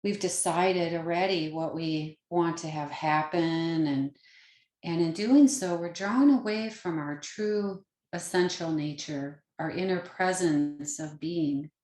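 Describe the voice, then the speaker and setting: A woman with a fairly low voice guides a meditation in a roomy, echoing space. She speaks very slowly and gently, leaving pauses between short phrases. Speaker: yoga nidra meditation teacher.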